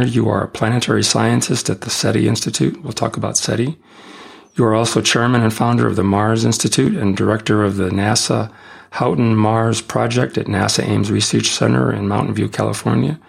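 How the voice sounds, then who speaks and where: A man hosting a podcast interview, introducing his guest and asking questions in a measured, formal way. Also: lowish voice, vocal fry, breathy edge. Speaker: podcast host.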